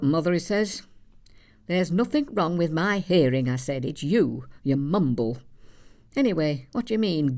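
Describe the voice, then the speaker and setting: A woman's voice: sits low, a little mellow. An actress playing an elderly, plain-spoken Yorkshire mother, telling a dry, gossipy monologue in a conversational way. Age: older. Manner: dry, matter-of-fact, gossipy. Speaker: actor in a theatre monologue. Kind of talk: conversational storytelling stage monologue.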